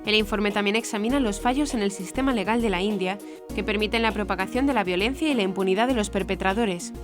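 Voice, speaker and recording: Woman newsreader over music. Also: medium pitch. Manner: formal, measured newsreading. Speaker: news presenter. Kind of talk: scripted news narration. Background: background music.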